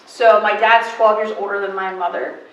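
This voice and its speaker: A woman in her twenties from western Pennsylvania, telling stories about her life in a casual interview, chatty and animated with many fillers. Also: medium pitch, normal speed. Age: 20s. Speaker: guest. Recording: echoey room, mic at a distance.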